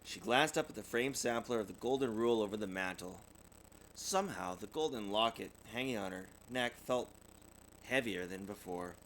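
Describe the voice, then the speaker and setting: A man's voice: lowish voice, moderate pace, a bit bright, a little hiss or hum, low-quality recording. A man reading a children's story aloud expressively, like a parent, with the occasional stumble over words. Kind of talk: animated storybook narration.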